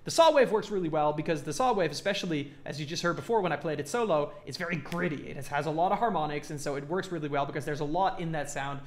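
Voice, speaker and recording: A man's voice: fairly high pitch, quick pace, few pauses. A man talking casually and informally as he explains a music production tutorial step by step. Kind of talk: casual hands-on tutorial talk.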